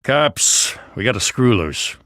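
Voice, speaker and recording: A man's voice: mid-range voice, very animated, slow, a bit bright, dry recording, studio quality. A man with an American accent voicing a weary, principled police lieutenant in a video game, plain-spoken and earnest. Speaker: voice actor. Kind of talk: video game dramatic dialogue.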